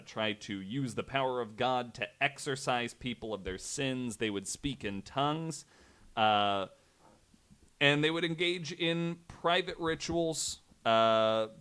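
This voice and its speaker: A man hosting a podcast, telling a story in a casual, halting, informal way with some swearing. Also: fairly low pitch, fairly bright tone, clear, resonant tone. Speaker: podcast host.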